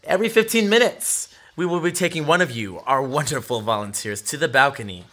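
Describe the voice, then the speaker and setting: A man playing a cruel, mock-polite gunman in an audio drama, speaking with theatrical, deliberate menace. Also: a little high, lively intonation, fairly bright tone, slight background noise. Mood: gloating menace. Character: cruel, taunting male gunman leading a hostage robbery.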